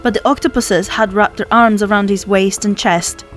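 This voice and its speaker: A woman's voice: medium pitch, fairly even intonation, moderate pace. A woman reading a children's story aloud as scripted narration, as an audiobook narrator does. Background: music.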